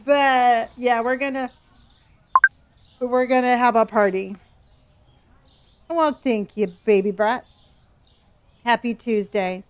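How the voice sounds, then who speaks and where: A woman's voice: a little high, steady tone, slow pace, long pauses, clear, resonant tone. A woman in her early sixties chatting casually with her live-stream viewers while playing a game, reading their messages aloud and often trailing off and restarting. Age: 60s.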